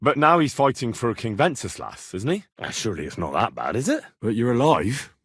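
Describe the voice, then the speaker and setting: An amiable young medieval man for a video game, inquisitive and plain-spoken. The voice is slightly rough at a medium pitch, with very mobile intonation. It is a close, dry recording with a conversational feel. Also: very animated, a little fast, fairly bright tone, no room sound, exaggerated voice. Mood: chatty, curious. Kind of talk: video game dialogue voice acting.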